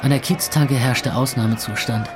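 A man's voice: medium pitch, fairly even intonation, normal speed, close to an everyday voice. An actor playing a gloomy, sardonic club owner in his twenties, narrating a radio drama in the first person. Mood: wry. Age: 20s. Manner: wry, sardonic. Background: music.

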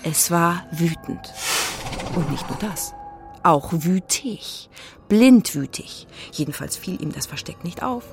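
A woman narrating a children's radio play as a wry, suspenseful storyteller, expressive and with pointed pauses. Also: lowish voice, faint music behind. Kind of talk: dramatic scripted storytelling for children.

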